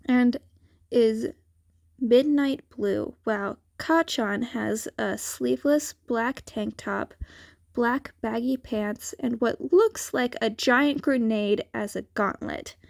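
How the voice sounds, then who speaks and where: A woman's voice: on the high side, takes it slowly, gravelly, dry recording, clean studio sound. A woman reading fan fiction aloud as a scripted narration. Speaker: podfic reader.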